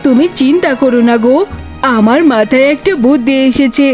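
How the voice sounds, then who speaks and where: A sly animal wife from a folk-tale cartoon voiced by a woman talks in a high voice. She is coaxing and conspiratorial, with soft music underneath. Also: brisk, close to an everyday voice. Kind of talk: children's cartoon voice acting. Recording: faint music behind the voice.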